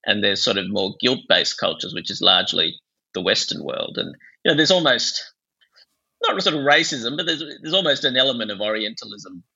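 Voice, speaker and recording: A man's voice: medium pitch, big pitch swings, normal speed, gravelly, sharp tone, slightly rough, muffled recording. A man from Bangladesh speaking as a psychiatrist guest in an interview, explaining ideas in a discursive, intellectual way.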